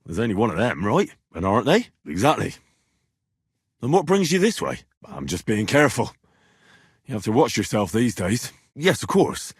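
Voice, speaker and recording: A young British blacksmith's son in a medieval role-playing game. His voice is husky and very lively in its rise and fall, with an earnest, curious, plain-spoken manner. The sound is dry and close. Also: medium pitch, normal speed, no room sound. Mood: wary, questioning. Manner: plain-spoken, inquisitive, informal. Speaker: video game voice actor. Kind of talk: game dialogue lines.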